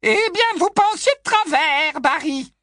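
A man voicing a nosy, cackling aunt over a hundred years old, with a shrill squawking voice that keeps repeating "no, no, no". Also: animated, unhurried, pushed and bright, dry recording, clean studio sound, heavily acted. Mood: gloating. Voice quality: shrill, high squawk, endlessly repeating "non, non, non". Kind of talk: storybook reading.

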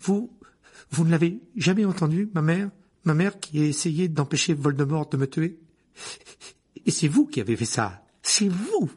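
A man voicing an angry, vengeful thirteen-year-old boy who shouts in a strained voice. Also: mid-range voice, expressive, fast, breathy edge. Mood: furious.

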